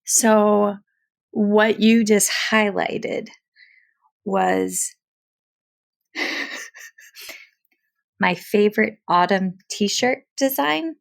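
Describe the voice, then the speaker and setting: A woman hosts a casual interview in a mid-pitched voice with plenty of vocal fry. She is chatty and effusive, drifting into personal anecdotes between her questions. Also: very slow, long pauses. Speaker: podcast host, speech-language pathologist.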